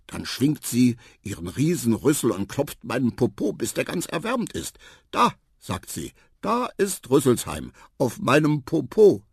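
A shy young storybook elephant, acted by a narrator, drowsy and gentle. The words come slowly and hesitantly in a husky murmur with some creak. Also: mid-range voice, sing-song, no room sound, clean studio sound, voice pushed up, exaggerated voice. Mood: earnest, drowsy.

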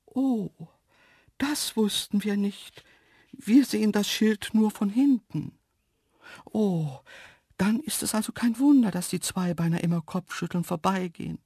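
A man's voice: high voice, unhurried, a little mellow, slightly rough, dry recording, studio quality, pitched above the performer's own voice, heavily acted. A man voicing a dainty, cheeky female antelope, easily offended, with a small delicate voice, in a children's story. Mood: disappointed. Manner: saucy, haughty.

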